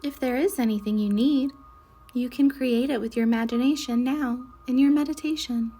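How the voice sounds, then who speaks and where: A woman leading a guided meditation in a soothing, measured way, with soft breathy endings to her phrases. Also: fairly high pitch, soft background music. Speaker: guided meditation leader, Reiki instructor. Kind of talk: slow guided meditation narration.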